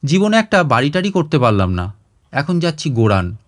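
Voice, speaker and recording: A man's voice: fairly high pitch, big pitch swings, brisk. Man voicing a gloomy retired man, complaining. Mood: glum. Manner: glum, complaining. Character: gloomy, hard-up retired man lamenting his lot.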